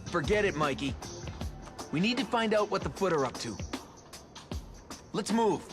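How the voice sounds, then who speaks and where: An actor playing an earnest teen mutant turtle leader with a firm, commanding manner. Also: male voice, sits high, expressive, moderate pace, over loud music.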